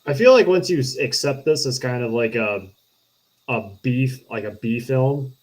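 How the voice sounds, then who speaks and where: A man's voice: mid-range voice, lively intonation, moderate pace, a little mellow. A man giving his opinions as a panelist in a casual, conversational film discussion. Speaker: podcast panelist.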